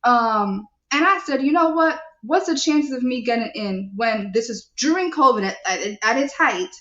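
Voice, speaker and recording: A young adult woman hosting a live show, reading viewer comments and asking her listeners questions in an animated, preachy way. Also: fairly high pitch, normal speed. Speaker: show host. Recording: echoey, dull lo-fi sound.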